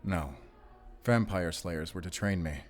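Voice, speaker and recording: A man's voice: deep voice, unhurried. A man playing a guarded, haunted and defiant werewolf hunter in a cinematic audio roleplay, speaking in a terse, solemn way. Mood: grim. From Germany.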